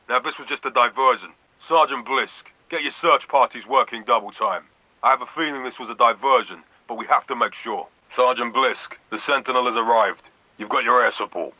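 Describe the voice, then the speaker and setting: An actor playing a stern, commanding vice admiral in a video game, giving clipped, authoritative orders over a radio comms filter. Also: male voice, mid-range voice, sharp tone, dry recording. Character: stern military vice admiral, grave, commanding fleet officer. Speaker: military commander character. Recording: dull band-limited radio sound.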